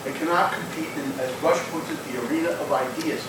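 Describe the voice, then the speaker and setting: A man's voice: mid-range voice, steady tone, a little fast. Confident man speaking in echoey hall. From Warwick, New York, USA. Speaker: audience member, local organizer. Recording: very echoey hall, distant mic, clear noise.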